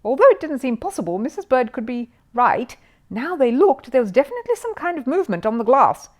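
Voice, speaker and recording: A woman narrating a children's storybook in an expressive, emphatic storytelling manner. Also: high-pitched, a little fast. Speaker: storyteller reading a children's book.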